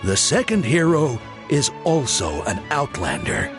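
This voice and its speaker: A genial male storyteller from a video game, spins a tale with theatrical flair. His delivery is slow and measured, with big swings in pitch and deliberate pauses, over faint music. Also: wildly swooping pitch. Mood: dramatic, reverent.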